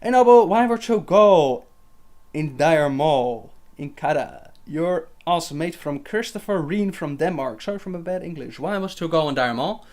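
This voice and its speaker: A man's voice: a little high, expressive, moderate pace, darkish tone. Chatty young man answering viewer questions. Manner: chatty, reads questions aloud then answers with fillers and laughs. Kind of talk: casual livestream Q&A.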